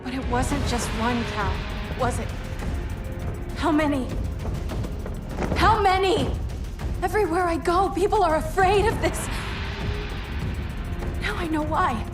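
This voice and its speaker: Game role: young superpowered heroine, high voice, earnest and defiant, loud score underneath. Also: female voice, slow, long pauses, over music. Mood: accusing. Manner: earnest, challenging, emotional. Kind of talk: dramatic video game cutscene acting. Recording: loud cinematic score over voice.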